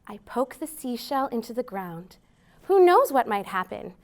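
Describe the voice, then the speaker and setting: An American woman hosting a children's storytime, reading aloud in an expressive, deliberate way for young listeners. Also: a little high. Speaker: library storytime host.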